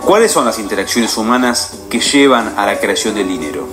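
Guy in his 40s narrating an explainer, voice swoops up and down a lot, music playing under him. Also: a little fast. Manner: animated, questioning delivery with wide pitch swings. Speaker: video essay presenter. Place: indoor studio with painted wall. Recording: music bed behind the voice.

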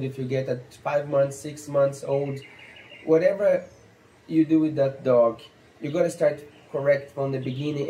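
A man in his thirties with a Brazilian accent, a stock dog trainer explaining training basics in halting, earnest English, seated on an outdoor patio. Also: mid-range voice, warm tone, roomy sound, slight background noise, low-quality recording. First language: Portuguese. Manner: halting, earnest, non-native phrasing with fillers. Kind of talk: instructional talk to camera.

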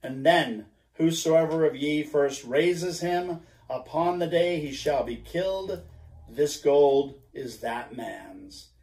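A grim old sea captain, obsessed and commanding, hurls his archaic orders and declarations in a slow, heavy cadence, as a man puts him on for a storybook reading. The voice rings with a roomy echo around it. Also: fairly high pitch, expressive, creaky, darkish tone, exaggerated voice.

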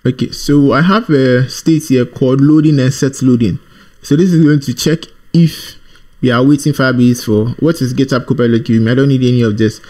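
A man presenting an informal programming screencast, thinking aloud in a halting, repetitive way as he types. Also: medium pitch, steady tone, a little mellow. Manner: thinking aloud while typing, halting, repeats himself. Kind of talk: informal screencast coding tutorial.

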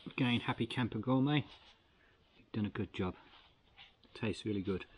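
Older male outdoor vlogger, lowish voice, easygoing chat while eating, faint outdoor background. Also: moderate pace, a little hiss or hum. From Australia. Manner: chatty and relaxed, talks while eating. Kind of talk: casual camp-cooking review. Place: outdoors in bushland at a picnic table. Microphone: camera across the table.

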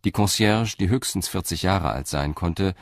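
Narrator for a radio crime drama: a very low male voice, measured delivery. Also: dry recording, studio quality. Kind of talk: scripted narration.

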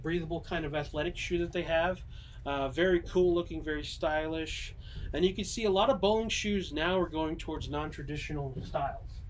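A man's voice: fairly high pitch, slight background noise, rough recording. Easygoing young man in a bowling shop. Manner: easygoing, many ums and uhs, showing items in hand. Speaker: bowling pro shop salesman. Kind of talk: casual product walkthrough. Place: small bowling pro shop, indoors. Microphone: camera mic at a few meters.

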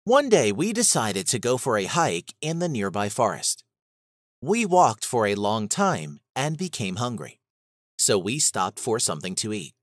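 A man reading a scripted children's story aloud as narrator, in an expressive manner. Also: medium pitch, no echo.

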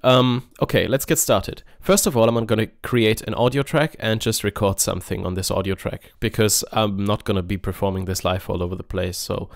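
A man hosting a software tutorial in a casual, offhand, informal way. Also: fairly low pitch, a little fast, gravelly, hardly any pauses, no room sound, studio quality. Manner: informal, offhand, mildly sweary. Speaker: music software tutorial host. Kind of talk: casual screen-recorded tutorial.

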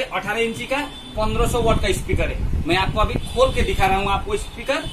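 A man in his thirties from India giving a casual product review to camera, explaining and often checking that viewers follow. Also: high voice. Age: 30s. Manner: explanatory, repeatedly checking with catchphrases like a tag question. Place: small cluttered sound-equipment shop, indoors. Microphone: camera or phone mic a short distance away. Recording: noisy shop recording, distant mic.

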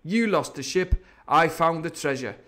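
A man acts out a swaggering pirate sea cook from a storybook, pitched up high and pushed loud. He blusters and commands in rough sailor's speech, with a sardonic edge. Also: expressive, measured pace. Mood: defiant, indignant. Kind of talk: theatrical storybook reading.

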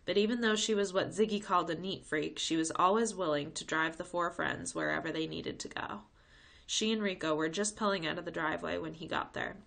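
A woman reading a children's chapter book aloud with expressive storybook narration. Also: on the low side, fairly quick, vocal fry.

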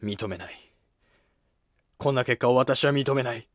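A man's voice: mid-range voice, a little slow, no echo, clean studio sound, natural voice. A man acting a proud, stubborn young rebel lord. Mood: defiant. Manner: indignant, insistent declaiming. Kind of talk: historical drama voice acting.

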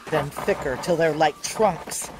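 Tough female soldier, sci-fi audio drama, unhurried deliberate narration, exultant intensity, loud sizzling behind the voice. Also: low-pitched, heavy background noise. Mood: growing power. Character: tough woman soldier merged with alien moss, awed and exultant.

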